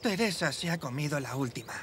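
Niño quejica de voz grave. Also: female voice, low-pitched, expressive, brisk, slight background noise. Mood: whiny. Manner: complaining, doubtful. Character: whiny, gluttonous, easily tired young boy. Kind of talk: animated series dubbing. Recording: music behind.

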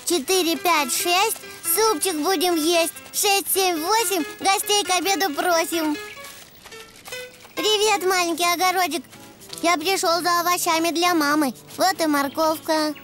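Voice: extremely high pitch, unhurried, over music. An actor voicing a cheerful, playful little boy donkey in a preschool cartoon, chatting and wondering aloud in a sing-song way. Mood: playful, excited. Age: young child.

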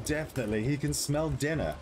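A man's voice: medium pitch, fast talker, background noise. A man in his thirties with an easygoing, agreeable manner, chatting casually as he streams a game. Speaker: streamer playing a pirate game. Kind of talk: casual gaming chat. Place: small indoor room, streaming setup.

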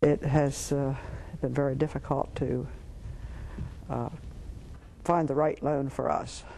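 Halting older woman, gravelly voice. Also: very deep voice, little pitch movement, warm tone, a little hiss or hum, low-quality recording. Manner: halting, many pauses and fillers. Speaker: farm owner. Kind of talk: news interview. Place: greenhouse.

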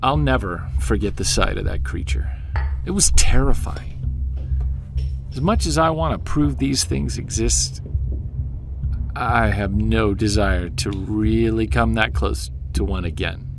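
Male for audio drama: guilt-ridden investigator husband, low earnest voice, music behind. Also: a little slow, creaky. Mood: regretful, confessional. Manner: confessional, earnest. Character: troubled, guilt-ridden husband and Bigfoot investigator recording confessions. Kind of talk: audio drama monologue and dialogue.

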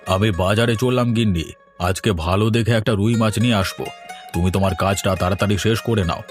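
A man's voice: lowish voice, a little fast, lightly acted. A man playing a genial village husband in a cartoon, coaxing in a folksy manner. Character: genial, food-loving village husband, early riser. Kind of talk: cartoon dialogue. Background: instrumental music.

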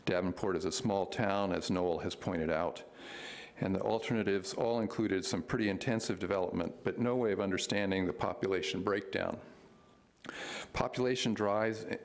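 A man in his sixties giving a public comment at a county board meeting, speaking in a measured, hesitant way with many fillers into a podium microphone. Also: sits low, gravelly.

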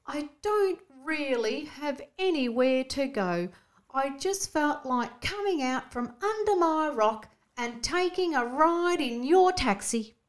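Shy, gentle male blobfish played by a woman, storybook, earnest, raised pitch, slow delivery. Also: lively intonation. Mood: wistful.

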